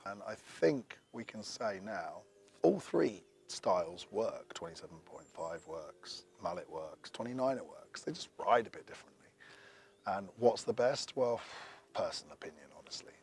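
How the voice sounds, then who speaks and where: A man's voice: on the low side, lively intonation, moderate pace, gravelly, a little mellow, a little hiss or hum. A man who is losing his voice, chatting casually through a bike review to camera with rambling asides.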